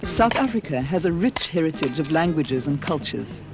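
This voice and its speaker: A narrator reading a formal documentary script in an even, steady delivery. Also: medium pitch, moderate pace. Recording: narration over loud music. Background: music.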